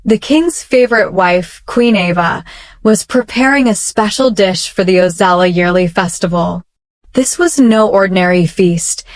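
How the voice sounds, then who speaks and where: A woman storyteller reading a scripted children's bedtime tale with measured, deliberate dramatic phrasing. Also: mid-range voice, no echo.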